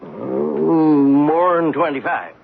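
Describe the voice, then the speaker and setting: A man's voice: on the high side, takes it slowly, gravelly, breathy edge, exaggerated voice. A man acting in a 1970s radio drama as a crafty, aggrieved beggar with folksy, ungrammatical, whining speech. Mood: aggrieved, stubborn. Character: aggrieved, crafty street beggar pleading poverty. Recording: noisy, phone-like old radio recording.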